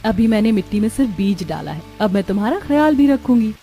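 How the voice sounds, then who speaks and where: A woman voicing a gentle, earnest young village daughter-in-law in a cartoon. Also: mid-range voice, lively intonation, quick pace, lightly acted.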